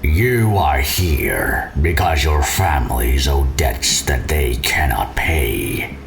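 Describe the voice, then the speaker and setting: Very deep, gravelly alien overlord. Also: male voice, background noise, full character voice. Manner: slow, cold, deliberate pronouncements. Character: menacing alien overlord addressing captive conscripts. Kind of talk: scripted audio drama acting.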